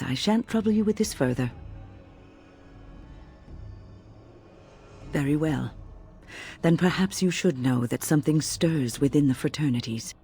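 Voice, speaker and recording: An actress playing an older, genteel female mage in a fantasy game, speaking formally and with measured kindness. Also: deep voice, mellow. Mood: warm, measured. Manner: formal, measured, kindly diction. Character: elderly genteel female mage, wise and cautious. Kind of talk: fantasy game dialogue acting.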